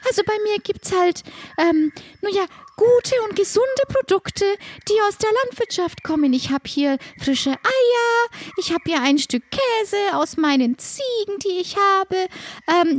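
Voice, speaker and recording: Very high-voiced, polite farm-shop woman, improvised by a player. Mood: polite, businesslike. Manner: polite, hesitant, wordy. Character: friendly, aggrieved woman farm-shop keeper. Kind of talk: improvised audio play.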